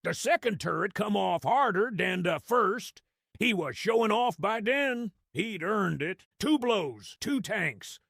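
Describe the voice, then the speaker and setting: A small, boastful goblin spins his war tale in a high, creaky voice. The delivery is theatrical and wry, with big swings in pitch, like a sly storyteller in an audio drama. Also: animated, takes it slowly, fairly bright tone, no echo, studio quality, heavily acted. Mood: excited, awed. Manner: rough colloquial dialect, theatrical, wry.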